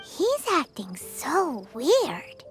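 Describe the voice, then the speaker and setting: A woman voicing a tiny, childlike female fairy companion in a video game, blunt, excitable and cute. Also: extremely slow, soft background music, full character voice. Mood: indignant, suspicious. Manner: squeaky, cute, refers to self in third person.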